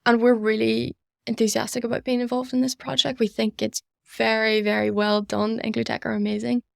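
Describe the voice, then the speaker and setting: Higher-pitched young Northern Irish woman, evenly spoken. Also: flat delivery, a little fast, gravelly, dry recording, clean studio sound. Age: young adult. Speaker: student society member, podcast guest. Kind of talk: earnest interview answers.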